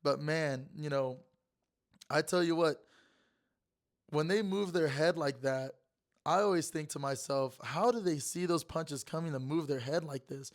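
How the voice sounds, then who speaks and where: Young man, raspy, hoarse, sports host, rambling casual talk, repeats words, slightly high voice. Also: a little slow. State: hoarse from being sick. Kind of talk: casual sports commentary monologue.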